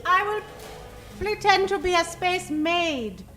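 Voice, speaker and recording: Evil jilted villainess, super high and sharp, slow menacing delivery, old radio play. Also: female voice, expressive, over music, heavily acted. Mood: conspiratorial. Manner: melodramatic, drawn-out menace. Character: scheming vengeful villainess, a jilted former sweetheart.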